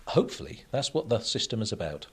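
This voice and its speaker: A man giving measured, matter-of-fact explanations as an expert guest in a radio interview. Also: on the low side, sing-song, creaky, husky.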